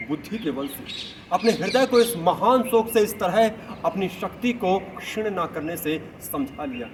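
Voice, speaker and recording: A man playing a newly crowned king who is formal, grieving yet self-satisfied, in a deliberate, declamatory stage monologue with dramatic pauses. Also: high-pitched. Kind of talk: amateur theatrical monologue in Hindi translation. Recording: noisy distant recording with outdoor ambience.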